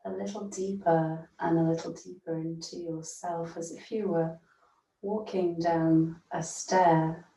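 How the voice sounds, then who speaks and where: A mellow woman's voice, recorded in a roomy, echoing space, guides a meditation. She speaks very slowly and gently, leaving long pauses as a yoga nidra teacher does. Her delivery stays soothing and very even. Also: fairly low pitch. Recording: roomy echo, distant from mic.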